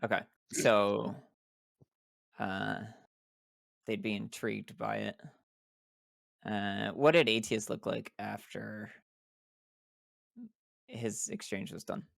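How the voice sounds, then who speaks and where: Creaky-voiced man in casual game chat. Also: lowish voice, fairly even intonation, slow pace, pauses often, fairly bright tone, no room sound, clean studio sound. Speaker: tabletop game player.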